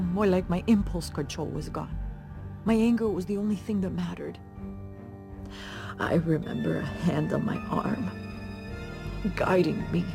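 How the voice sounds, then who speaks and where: A creaky-voiced, shaken police sergeant. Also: female voice, fairly low pitch. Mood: haunted. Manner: halting, intense, confessional. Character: shaken, remorseful tough female police sergeant. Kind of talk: dramatic video game voice acting.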